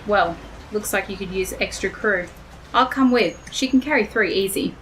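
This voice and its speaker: A woman's voice: medium pitch, moderate pace, slight background noise, lightly acted. A woman playing a self-assured, blunt pilot and bomb tinkerer with a wry manner in an audio drama. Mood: cocky, wry. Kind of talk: scripted audio drama acting.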